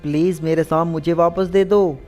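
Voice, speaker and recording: A man playing an eager, earnest snake charmer in an animated folk story. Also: on the high side, moderate pace, faint music behind, pitched above the performer's own voice, lightly acted. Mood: earnest pleading. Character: eager, money-hungry male snake charmer, softening for family.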